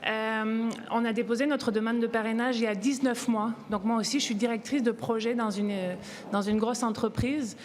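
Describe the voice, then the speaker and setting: A woman's voice: fairly high pitch, steady tone, moderate pace. Frenchwoman in her forties, reverberant hall. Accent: French (France). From France. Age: 40s. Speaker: press conference speaker. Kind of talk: personal testimony at a press conference.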